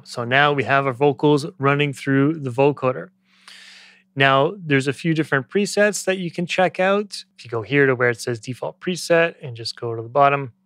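A man in his thirties giving a conversational, step-by-step software tutorial as an instructor, close to the microphone. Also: mid-range voice. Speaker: music production tutorial instructor.

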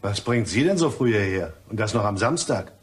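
An actor playing a shifty, irritable gentleman in a crime radio play, slippery and impatient in manner. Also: on the low side, lively intonation, brisk, natural voice. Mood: evasive, polite. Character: shifty, irritable gentleman employee suspected of embezzlement.

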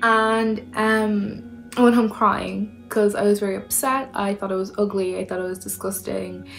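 A young Irish woman recounting a personal memory, reflective and halting with fillers. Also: medium pitch, fairly even intonation, gravelly, fairly bright tone, faint music behind. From Ireland. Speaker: interviewee. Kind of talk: personal testimony.

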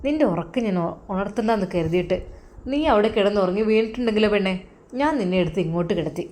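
A woman voicing a gentle newlywed husband who speaks softly and coaxingly, pitched lower and quieter than her own voice. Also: animated, fast, close to an everyday voice. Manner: soft, low, coaxing.

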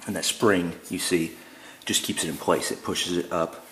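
A very deep-voiced man talks in a low, even monotone as he walks through his handiwork. He speaks plainly and fills his pauses with ums and uhs. The sound bounces around an echoey garage, with a faint hum of room noise behind him. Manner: relaxed and plain-spoken, many ums and uhs. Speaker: DIY builder showing his work. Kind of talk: casual how-to walkthrough. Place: indoor garage.

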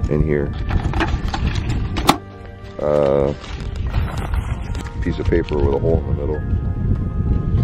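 A man in his thirties narrating a vlog in an informal, bantering, slangy way into a handheld camera. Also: mid-range voice. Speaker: vlog host. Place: outdoors on open rural roadside, sometimes in a car. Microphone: handheld camera at arm's length.

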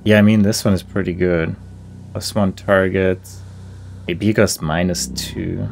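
A man's voice: low-pitched, fairly even intonation, a little slow, creaky, a little mellow, slight background noise. A man giving casual video game playthrough commentary, reading out details and thinking aloud in an understated, musing way. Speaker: gaming video host.

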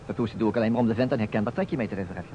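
A man's voice: fairly low pitch, rapid-fire, close to an everyday voice. An actor playing a playful man who stages a detective game, with a theatrical manner, in an old radio play. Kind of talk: vintage Dutch radio play acting. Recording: dull, noisy old radio recording.

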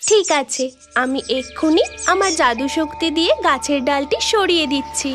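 A woman voicing a kindly magical mermaid with a gentle, earnest manner in a fairy tale cartoon. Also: high-pitched, normal speed, music in the background, close to an everyday voice. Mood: concerned, reassuring. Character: kindly, helpful three-headed magical mermaid.

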